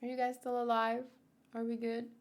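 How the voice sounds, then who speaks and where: A woman's voice: on the high side, monotone, moderate pace. Woman reading manga aloud with casual asides. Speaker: manga read-along host.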